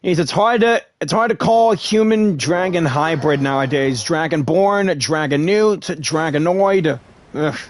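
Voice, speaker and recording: High-pitched man doing game commentary. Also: a little slow, no room sound. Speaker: gaming video creator. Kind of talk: game playthrough commentary, reading dialogue aloud.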